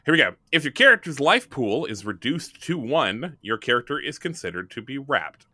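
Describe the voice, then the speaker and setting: A man's voice: medium pitch, very animated, normal speed, sharp tone, no echo. A man in casual, jokey banter as a player on a tabletop roleplaying podcast. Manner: jokey, playful.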